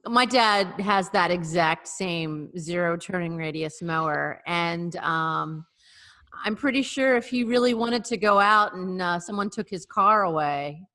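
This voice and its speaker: A woman's voice: lowish voice, unhurried, fairly bright tone. A woman in her forties co-hosting a casual video-call chat, making wry, sarcastic remarks. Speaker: co-host.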